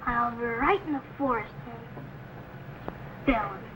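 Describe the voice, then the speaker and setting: A woman's voice: a little high, a little slow, lightly acted. A woman playing an eager, earnest young boy who dreams of exploring, in an old-time radio adventure. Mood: earnest, grudging. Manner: earnest, protesting, boyish. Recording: slightly noisy old radio recording.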